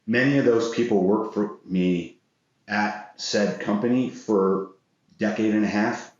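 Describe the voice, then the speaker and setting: A low-pitched, middle-aged American man speaks in a strongly reverberant room, with a slightly dull sound. He talks as an interview guest, reflecting on his working life in an earnest, measured way. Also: darkish tone. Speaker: interview guest, business executive.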